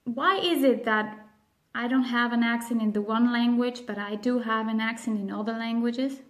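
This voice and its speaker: A woman with a Swiss German accent, a native speaker of Spanish and Swiss German, explaining a language lesson in a careful, emphatic way with frequent pauses. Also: on the high side, steady tone. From grew up on the other side of the globe. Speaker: language educator.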